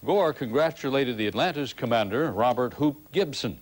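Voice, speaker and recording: A man reads the news in a measured, polished anchor delivery. He moves between scripted narration and conversational questions to his guest. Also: mid-range voice, lively intonation. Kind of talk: broadcast news narration and interview.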